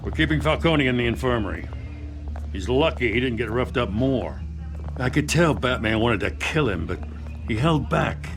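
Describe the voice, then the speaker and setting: A man plays a steady police officer in charge in a video game. He is plain-spoken and firm, in a mid-pitched voice with music behind him. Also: normal speed, natural voice.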